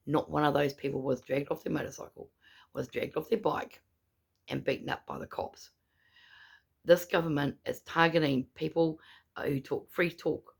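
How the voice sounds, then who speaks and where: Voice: medium pitch, creaky, slightly rough. A New Zealand protester delivering an angry, indignant rant, speaking gummily without dentures in and hammering points home with repetition. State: gummy speech, no dentures in. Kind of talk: angry ranting monologue.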